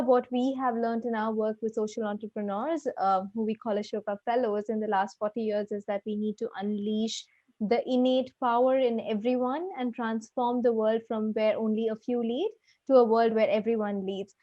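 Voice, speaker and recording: A woman giving a prepared, earnest and formal presentation as a program director, with hesitant pauses. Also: a little high, steady tone, mellow, no room sound. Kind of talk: prepared presentation at an online festival. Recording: dull, muffled lo-fi audio.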